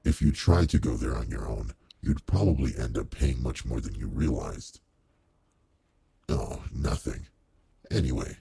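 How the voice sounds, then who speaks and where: A man voicing a suave, teasing aristocratic demon lord in an intimate roleplay audio drama, with a deep, gravelly, husky voice and a leisurely manner. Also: warm tone, no echo, full character voice. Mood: generous, amused.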